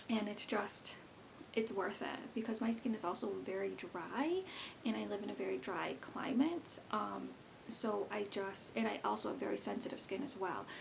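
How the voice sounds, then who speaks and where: A woman in her thirties chats through a beauty vlog in a loose, rambling way, full of fillers and restarts. Her voice has a gravelly, creaky texture at a middle pitch. A faint hiss sits under the recording. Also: moderate pace. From South Korea. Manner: chatty and rambling, many fillers. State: pregnant. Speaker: beauty vlogger. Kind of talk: casual talk to camera.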